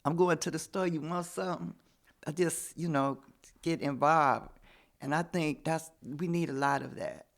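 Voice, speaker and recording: A woman's voice: sits low, moderate pace. A woman in her seventies, a community volunteer chatting casually as a guest and retelling what neighbors said to her. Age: 70s. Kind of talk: casual storytelling.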